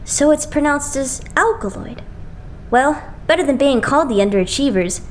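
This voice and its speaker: A woman voicing a blunt, excitable, skeptical young idol in an anime fandub. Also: high voice, moderate pace, slight background noise. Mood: puzzled. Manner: blunt, expressive. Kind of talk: anime game fandub acting.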